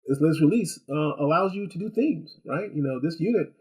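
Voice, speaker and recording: A man's voice: on the high side, lively intonation, a little fast, darkish tone, husky. A man giving a casual, unscripted product review, rambling and repeating words as he goes, with chatty rhetorical asides. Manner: chatty, rhetorical asides and check-ins like "right?". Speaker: gadget review host.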